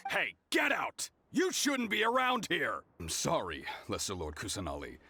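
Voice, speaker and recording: A man voicing an agitated, gruff guard in a video game, with a forceful, barking delivery. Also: a little high, big pitch swings, normal speed, faint music behind, full character voice. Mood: alarmed, deferential. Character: gruff, agitated guard, deferential to his goddess.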